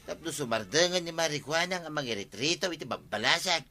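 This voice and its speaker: Very sharp-voiced Ilocano village buddy. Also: male voice, a little high, lively intonation, a little fast, soft background music. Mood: concerned, gossipy. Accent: Filipino, Ilocano. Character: teasing, meddling male village buddy. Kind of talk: broadcast comedy radio drama acting.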